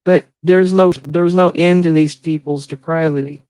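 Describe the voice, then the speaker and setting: A man's voice: a little high, steady tone, moderate pace, darkish tone, clean tone, no echo, poor audio. A man in a casual livestream chat, hosting and speaking in a profane, aggrieved, defensive way. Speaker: livestream host.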